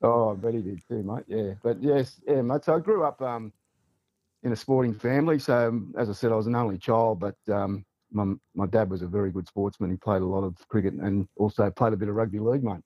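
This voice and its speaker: A man's voice: lowish voice, fairly quick, no room sound, muffled recording. A man with an Australian accent, a former rugby league player, chatting casually as a podcast guest and saying "mate" a lot. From Newcastle, Australia. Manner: relaxed, chatty, says mate often. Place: on the line for a podcast.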